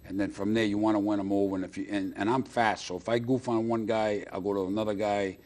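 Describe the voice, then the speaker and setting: A man's voice: on the low side, fairly even intonation, fast talker, darkish tone. A middle-aged man. He talks casually, like a comedian guesting on a chat show. He is enthusiastic and repeats phrases to drive them home. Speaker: guest, stand-up comedian and booker.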